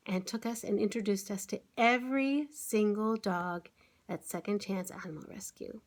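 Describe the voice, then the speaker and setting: A woman hosting story time for children, talking through a picture book and often putting simple questions and exclamations to her young listeners. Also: mid-range voice. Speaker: children's author and online story-time host.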